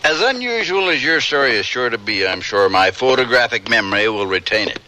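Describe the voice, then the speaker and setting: An actor playing a nosy, wry frontier reporter with a folksy, glib manner in a radio western. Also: male voice, medium pitch, animated, brisk, sharp tone, a little hiss or hum, poor audio. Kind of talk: old-time radio western acting.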